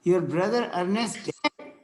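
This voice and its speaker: A man reading the part of a pious, pedantic, gentle clergyman in an amateur table read, formal and a little halting. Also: sits high. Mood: solemn sympathy.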